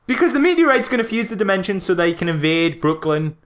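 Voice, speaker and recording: A man co-hosting a relaxed podcast, reviewing a film he finds absurd. His pitch jumps around, he laughs a lot and keeps asking disbelieving questions. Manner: laughing, incredulous, rapid questions. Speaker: podcast co-host. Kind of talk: casual film review chat.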